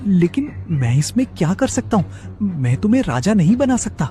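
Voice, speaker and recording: Man voicing a dark-toned, timid hyena. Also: high-pitched, big pitch swings, normal speed, faint music behind. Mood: startled. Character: timid, honest hyena reluctant to lie. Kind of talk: Hindi animated moral story acting.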